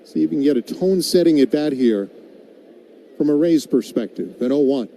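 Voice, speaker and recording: A man's voice: on the high side, brisk, gravelly, warm tone. A man doing live radio play-by-play commentary of a baseball game as the announcer. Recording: background noise of an outdoor ballpark.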